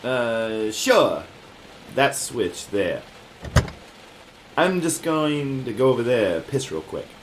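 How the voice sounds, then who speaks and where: A man's voice: medium pitch, big pitch swings, takes it slowly, pauses often, noisy background. A man playing a wary, down-on-his-luck jazz musician in an audio drama, terse and puzzled. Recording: roomy echo. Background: music under the voice.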